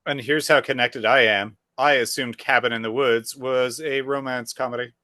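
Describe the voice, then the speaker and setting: A man's voice: mid-range voice, steady tone, normal speed, pushed and bright, dry recording, clean studio sound. A man co-hosting a movie podcast, dropping short joking remarks into casual talk. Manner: brief joking interjections. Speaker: podcast co-host.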